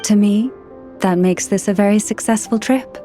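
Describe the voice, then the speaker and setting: Woman playing a composed, gentle game priestess. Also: mid-range voice, soft background music, close to an everyday voice. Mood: composed, pleased. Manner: measured, articulate, reassuring. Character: composed, gentle young female scholar-priestess, kindly and earnest. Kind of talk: video game voice acting.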